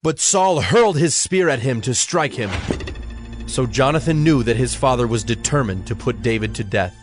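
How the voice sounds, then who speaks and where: A man's voice: mid-range voice, lively intonation, normal speed, music in the background. A man narrating a dramatized Bible story from a script.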